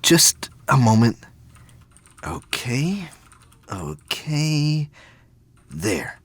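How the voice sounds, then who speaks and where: A man playing an earnest, troubled but determined young space cadet in an audio drama, speaking in a halting, urgent way. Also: medium pitch, big pitch swings, slightly rough, pitched above the performer's own voice, exaggerated voice. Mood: hurried, focused.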